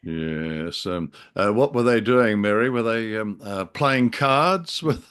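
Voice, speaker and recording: A man with a fairly low voice chats as a podcast co-host. His delivery is droll and hesitant, with self-deprecating humour and an unscripted, conversational flow. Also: lively intonation, slow, fairly bright tone, clean tone. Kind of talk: casual humorous chat.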